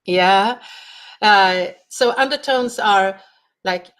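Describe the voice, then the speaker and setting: Brief: woman sound healer, interview guest, unhurried delivery, halting speech. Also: medium pitch, a bit bright, clear, resonant tone. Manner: slow, halting, searching for words. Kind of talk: conversational interview answers.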